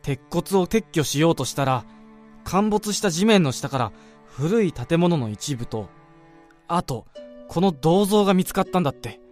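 Radio drama role, male actor: gossipy, excitable male colleague, lightish pitch, very animated delivery, music underneath. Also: normal speed, natural voice. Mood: eerie, explaining. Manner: animated, exasperated, informal storytelling. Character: excitable, gossipy male office colleague relishing an eerie rumour. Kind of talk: Japanese radio drama acting.

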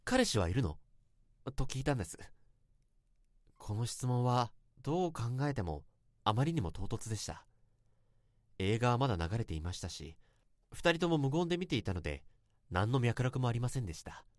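A man's voice: medium pitch, sing-song, moderate pace, long pauses, a little mellow, no room sound, studio quality, close to an everyday voice. A man acting in a radio drama as a self-conscious young man, earnestly and politely recounting an awkward romantic memory in a confessional monologue.

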